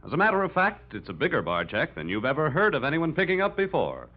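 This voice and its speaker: A man's voice: fairly high pitch, huge pitch swings, quick pace. A man with an American accent announcing a radio series in 1940s style. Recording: noisy lo-fi old radio recording.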